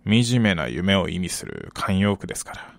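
A man's voice: deep voice, big pitch swings, moderate pace, gravelly, dry recording, exaggerated voice. An actor playing a cool, cynical man in a radio drama, speaking in a detached, cryptic and curt way. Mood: dismissive. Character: cool, cynical male stranger. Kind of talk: Japanese radio drama acting.

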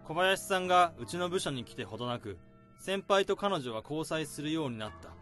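A man playing a young office worker who calmly unravels a crime in a radio drama. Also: a little high, soft background music. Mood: accusing. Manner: calm, probing, deliberate.

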